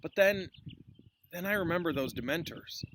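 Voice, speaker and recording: A man's voice: fairly high pitch, expressive, normal speed, a bit bright, voice pushed up. A man reading a storybook as a guarded, awkward schoolboy wizard with hesitant, earnest speech, recorded outdoors. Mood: earnest, questioning. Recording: clearly noisy outdoor recording.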